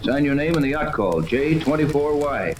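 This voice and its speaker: 1930s radio serial actor as commanding adventurer captain, clipped orders, noisy old echoey transcription. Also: male voice, on the high side, lively intonation, moderate pace, lightly acted. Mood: grave, deliberate. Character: decisive, take-charge male yacht captain adventurer.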